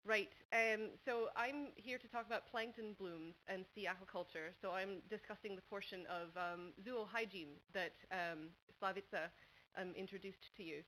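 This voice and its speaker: A woman in her thirties from New England, with a New England American accent, giving a quick, chatty conference talk full of ums at a panel desk mic. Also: medium pitch, fairly bright tone, a little hiss or hum, low-quality recording. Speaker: conference speaker. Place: large university lecture hall. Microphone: table microphone at a panel desk.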